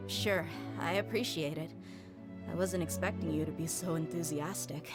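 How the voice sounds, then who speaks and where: A woman playing a tough but warm-hearted young desert mercenary in a game cutscene, frank and earnest in a restrained way. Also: low voice, steady tone, moderate pace, loud music behind the voice. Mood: grateful.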